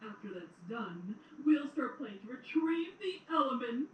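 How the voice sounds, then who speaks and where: A woman playing a cruel, menacing, imperious queen in an audio drama, theatrical and commanding with drawn-out words. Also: on the high side, sing-song, lo-fi sound. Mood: sinister scheming. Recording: roomy, distant playback with some noise.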